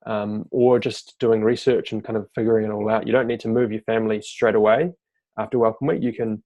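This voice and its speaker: A man hosting a live webinar, reading out audience questions and answering them in a relaxed, off-the-cuff way over a video-call mic. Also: fairly low pitch, fairly quick, no echo, highs cut off. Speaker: fellowship team member hosting a webinar Q&A.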